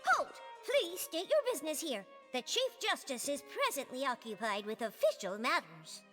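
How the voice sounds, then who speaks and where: High, officious guard. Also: female voice, big pitch swings, moderate pace, fairly bright tone, faint music behind. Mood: stern, dismissive. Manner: brusque, formal. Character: stern officious female guard, rule-bound and dismissive. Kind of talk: anime-style video game dialogue.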